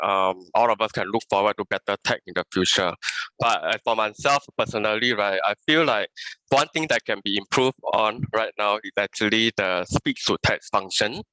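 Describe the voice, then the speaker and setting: Singaporean guy on a video call, chatty, lowish bright voice, dry sound. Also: no room sound, low-quality recording. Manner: chatty, with tag words and restarts. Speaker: panelist. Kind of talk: casual panel talk.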